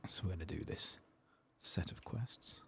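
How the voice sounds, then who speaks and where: A soft-spoken man hosting an ASMR game playthrough, hushed and unhurried, sometimes slipping into whispers. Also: deep voice, animated, vocal fry. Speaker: ASMR gaming host.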